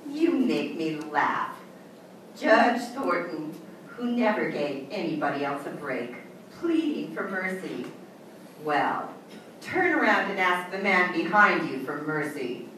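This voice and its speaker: A woman playing a cold, vengeful schemer in an old-time radio melodrama, scornful and deliberate. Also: fairly low pitch, big pitch swings, fairly bright tone, full character voice. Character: cold, vengeful woman who double-crosses a judge. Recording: echoey, distant stage recording, faint noise.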